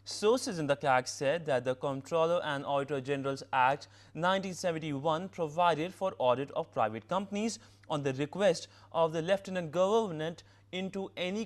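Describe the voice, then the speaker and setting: Slightly high-voiced Indian male news anchor. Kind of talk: scripted news reading.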